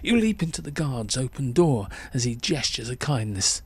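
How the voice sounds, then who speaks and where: A man's voice: medium pitch, big pitch swings, normal speed, a little mellow, breathy edge. A man narrating a suspenseful short story in the second person, with theatrical delivery.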